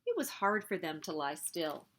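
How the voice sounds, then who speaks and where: A woman hosting a story time, reading a picture book aloud in an expressive, animated way meant for young children. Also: on the low side, normal speed, noisy background.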